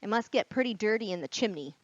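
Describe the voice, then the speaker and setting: A woman reading aloud at a children's storytime as a librarian, expressive and encouraging, speaking straight to young listeners. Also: mid-range voice, quick pace, dry recording. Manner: expressive, gasps and whispers for drama. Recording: dull, lo-fi sound.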